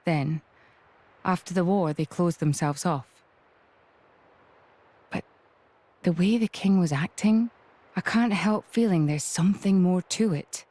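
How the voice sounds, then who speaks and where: An actress voicing a serious female officer who reasons aloud in a formal, measured way. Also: fairly low pitch, warm tone. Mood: pensive.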